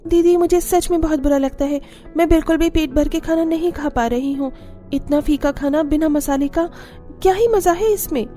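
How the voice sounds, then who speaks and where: Wistful young cartoon daughter-in-law female actor, high-pitched and soft-toned, with music underneath. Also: moderate pace, close to an everyday voice. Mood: wistful, plaintive. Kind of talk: Hindi cartoon story acting.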